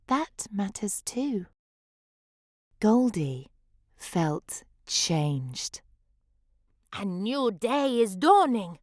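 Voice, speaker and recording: A woman reads a children's picture book in rhyme, theatrical and rhythmic. Her pitch rises and falls widely and her pace is unhurried. The recording is very dry. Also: a little mellow, dry recording. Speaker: children's story reader. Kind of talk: expressive picture-book read-aloud.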